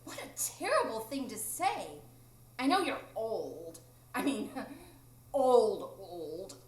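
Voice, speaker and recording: A woman's voice: fairly high pitch, very animated, slow pace. A woman playing a cocky, playful boy who refuses to grow up, taunting in a live radio drama. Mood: cocky, mocking. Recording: echoey room, live stage recording.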